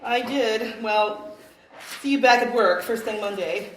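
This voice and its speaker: In an echoey room, a woman plays an unimpressed office coworker in a live comedy sketch. Her fairly high voice is dry, wry and matter-of-fact. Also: measured pace, slight background noise, natural voice. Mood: dryly amused. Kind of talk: live comedy sketch acting in old-time radio variety style.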